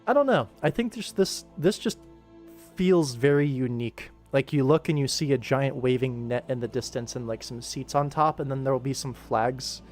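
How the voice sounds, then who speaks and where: A young man with a mid-pitched voice hosts a casual work livestream. He rambles and thinks out loud in a playful, informal way, with music playing behind him. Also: normal speed. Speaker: livestream host and animator. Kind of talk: casual livestream chat while working.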